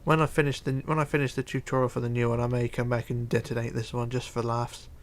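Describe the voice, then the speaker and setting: Streamer guy, gravelly voice, rambly chat-reading, light hiss behind. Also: medium pitch, little pitch movement. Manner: rambling, chatty, halting with fillers and restarts. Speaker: Minecraft livestreamer. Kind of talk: casual livestream, reading chat aloud.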